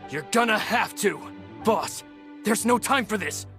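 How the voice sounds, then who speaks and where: A man's voice: sits high, lively intonation, measured pace, sharp tone, soft background music, exaggerated voice. A man playing a loyal, protective henchman in a dramatic game, firm and stern. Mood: stern, urgent.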